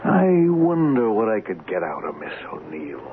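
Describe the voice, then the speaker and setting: Pushy male small-time crook, lowish voice, blunt delivery, noisy 1970s radio drama. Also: very animated, moderate pace, phone-quality sound. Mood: pushy, scheming. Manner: blunt, wisecracking, insistent.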